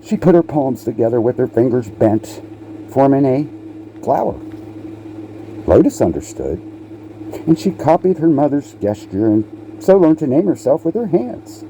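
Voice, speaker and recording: A man reading a children's picture book aloud in a halting way, repeating words and slipping in playful asides to the listener. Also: mid-range voice, big pitch swings, dark tone, a little hiss or hum, rough recording. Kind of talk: storybook read-aloud with casual asides.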